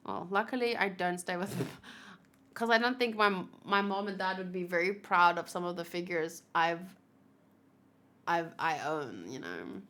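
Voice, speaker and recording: A woman's voice: lowish voice, a little slow, fairly bright tone. A woman streamer chatting casually and giggling as she unboxes and assembles a figure, answering her chat.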